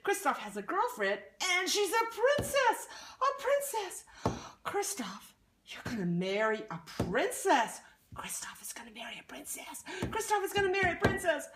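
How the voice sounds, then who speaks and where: Woman doing a squeaky, thrilled little troll. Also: a bit bright, reverberant, a little hiss or hum, full character voice. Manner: gasping, eager, repeating itself. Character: excited chattering friendly troll, small, gossipy. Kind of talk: playing pretend voices.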